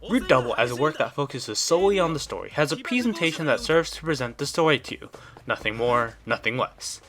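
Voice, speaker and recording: A man's voice: mid-range voice, expressive, brisk, fairly bright tone. Man narrating a scripted review. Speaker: video reviewer.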